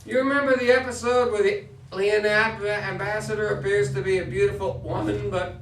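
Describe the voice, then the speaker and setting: A man playing a joking, scatterbrained astronaut in an amateur play reading, with halting, slightly muddled radio talk. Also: high-pitched, fairly even intonation, a bit bright, a little hiss or hum. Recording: echoey room, distant microphone.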